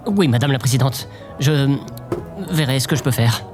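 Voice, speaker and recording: A deferential presidential aide, played by a man, speaks at a middling pitch with formal obedience and some hesitancy. Music plays clearly behind him in this radio play. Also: normal speed, lightly acted.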